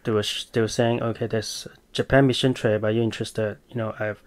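A man, answering interview questions in a thoughtful, halting, earnest way. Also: lowish voice, level tone. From Malaysia. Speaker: podcast guest. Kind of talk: casual interview.

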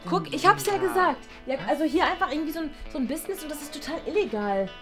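An indignant tattletale schoolgirl, played by a woman. She talks high and fast. Music plays behind. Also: animated. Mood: tattling. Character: tattletale girl pupil.

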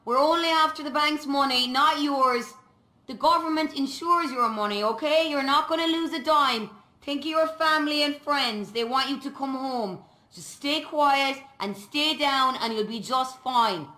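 A woman's voice: high voice, moderate pace, bright, forceful tone. An actress playing a firm, controlled female bank robber in a video game, giving orders. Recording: echoing room sound.

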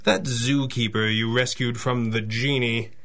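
An actor playing a confident masked crime-fighter in a radio adventure, measured, deliberate and wry. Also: male voice, mid-range voice, steady tone, a bit bright. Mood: cool, analytical. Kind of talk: 1930s-style radio adventure acting.

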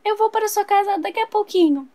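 A woman voicing a cheerful young female friend doll who answers the phone with high, gushing excitement. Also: quick pace. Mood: excited.

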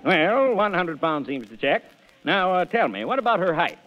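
An actor playing an earnest, gullible small-town mayor with brisk, folksy official questioning, in 1930s radio comedy style. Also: male voice, sits high, very animated. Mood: inquiring. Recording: muffled old radio recording, echoey hall.

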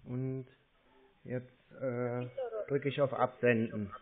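A man baiting a phone scammer, first acting slow and naive and then turning blunt and mocking. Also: mid-range voice, fairly even intonation. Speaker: scam baiter. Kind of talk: recorded prank phone call. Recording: tinny phone line.

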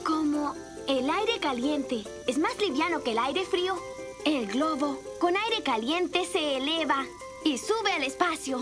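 A woman's voice: high-pitched, animated, unhurried. A woman voicing a friendly young cartoon rabbit who explains things patiently and encouragingly, like a helpful little teacher. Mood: patient, explaining. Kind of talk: children's cartoon dubbing. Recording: music under the voice.

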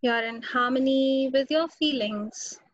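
A woman meditation teacher with an Indian accent speaks in a fairly high voice. Her instructions come slowly, separated by long pauses. The sound is dry and a little dull, as if over a webinar call. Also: dry recording. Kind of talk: guided meditation. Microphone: video call. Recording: dull, muffled webinar audio.

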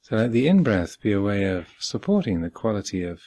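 A man with a husky voice guiding a meditation as a teacher, speaking calmly and deliberately with long pauses. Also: on the low side. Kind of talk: guided meditation instruction. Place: meditation hall at a retreat.

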